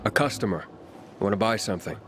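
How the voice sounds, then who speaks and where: Game casting: a man plays a gruff investigating loner, fairly low voice, matter-of-fact and insistent. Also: fast talker, background noise, natural voice. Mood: pressing. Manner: direct, pressing, matter-of-fact. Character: determined, gruff young male outsider on an investigation. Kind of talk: video game cutscene acting.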